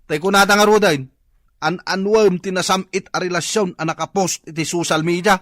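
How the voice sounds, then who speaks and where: An actor playing a hapless, excitable, complaining market vendor in an Ilocano comedy radio drama, with an Ilocano accent. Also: male voice, high-pitched, normal speed, no echo, studio quality. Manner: exaggerated, plaintive. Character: hapless, whining married male tilapia vendor, excitable complainer.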